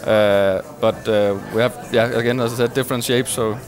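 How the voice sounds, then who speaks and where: A man's voice: mid-range voice, steady tone, faint music behind. Hesitant man explaining technical details. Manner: hesitant, halting, measured. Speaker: loudspeaker driver designer being interviewed. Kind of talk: technical explanation in a relaxed interview. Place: glass-walled booth at a trade show hall. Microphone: headset.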